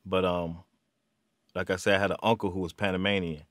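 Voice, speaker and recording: A man, from Virginia, talking as an interview guest in a halting way full of "um"s and restarts. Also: low voice, flat delivery, no echo, studio quality. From Virginia, USA. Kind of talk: casual interview. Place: small studio room.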